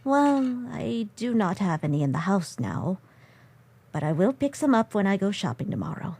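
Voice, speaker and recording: A woman playing a gentle, motherly monster. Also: mid-range voice, sing-song, moderate pace, breathy edge. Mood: caring. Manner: soft, formal, careful speech without contractions. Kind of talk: fan comic dub.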